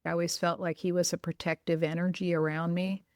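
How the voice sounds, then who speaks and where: A woman with a fairly low voice hosts a casual podcast interview, sharing her own experiences with enthusiasm. The sound is dry and close. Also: flat delivery, a little fast, dry recording. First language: bilingual in Greek. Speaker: podcast host.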